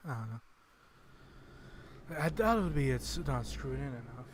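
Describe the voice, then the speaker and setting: Casual male streamer, soft and hesitant, lots of "uh", mid pitch, home desk mic. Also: sing-song, moderate pace, slight background noise. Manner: soft-spoken, hesitant, many fillers. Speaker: game streamer. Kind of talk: casual live-stream chat while playing. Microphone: desk microphone on a computer.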